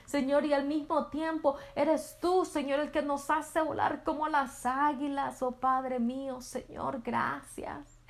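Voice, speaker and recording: A woman leading a fervent spoken prayer with rhythmic repeated invocations, at times dropping to a soft near-whisper. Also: high-pitched, level tone, slow. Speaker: prayer leader.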